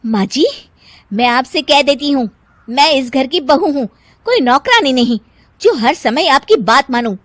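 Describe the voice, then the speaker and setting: A woman as high-pitched, dramatic young daughter-in-law. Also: lively intonation, measured pace, no room sound. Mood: irritated, defiant. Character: young daughter-in-law, deceitful, quarrelsome, later remorseful. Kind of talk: Hindi cartoon story voice acting.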